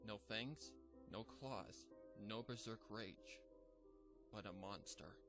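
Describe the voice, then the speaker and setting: A man narrating a dark fan fiction story in a measured, somber reading. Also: fairly low pitch, over music. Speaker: fanfic narrator.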